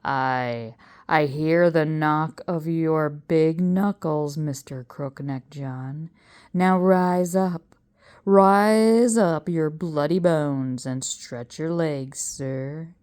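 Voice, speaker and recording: Woman playing a theatrical, slow-talking pompous professor. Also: low-pitched, darkish tone, clean tone. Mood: grandly summoning. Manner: theatrical, commanding, drawn-out delivery. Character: pompous, menacing male showman professor conjuring a ghost.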